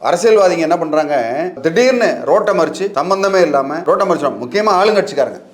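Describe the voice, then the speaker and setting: A man's voice: high voice, lively intonation, fast. A man with a Tamil Nadu accent giving informal legal advice as an expert, emphatic and colloquial, mixing in English words. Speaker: legal expert guest answering questions. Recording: echoey, reverberant room.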